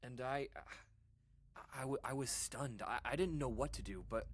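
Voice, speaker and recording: A voice actor playing a rattled person recounting a frightening childhood memory in a halting, conversational way, with stammers and dramatic pauses. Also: mid-range voice, breathy edge, dry recording, natural voice. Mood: frightened. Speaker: storyteller. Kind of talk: audio drama monologue, conversational horror storytelling. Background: music.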